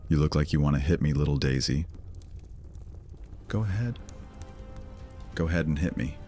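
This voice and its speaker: A man's voice: a bass voice, normal speed, warm tone, faint music behind. An actor playing a menacing, mocking male vampire lord who speaks with a sneering, threatening manner. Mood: taunting. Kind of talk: audio drama roleplay.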